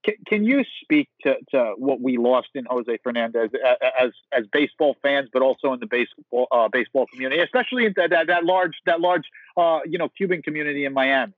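Halting male host on a tinny line. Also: medium pitch, expressive, no room sound. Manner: halting, many fillers and restarts. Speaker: podcast host. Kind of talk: podcast interview questions.

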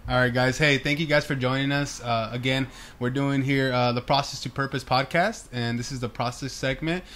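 A man's voice: mid-range voice, fairly even intonation, moderate pace, a bit bright. A man hosting a casual podcast with his wife, joking and teasing with lots of fillers. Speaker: podcast host.

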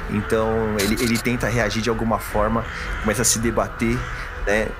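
A man's voice: on the low side, level tone, a little fast, very noisy. A man playing a tabletop RPG, improvising his character's words and narrating his actions. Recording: music clearly behind.

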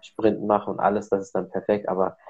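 Brief: fairly low male host, chatty explainer, muffled lo-fi audio. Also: completely flat delivery, quick pace, darkish tone, a little hiss or hum. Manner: explains at length, many fillers. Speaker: podcast host. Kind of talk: casual podcast conversation.

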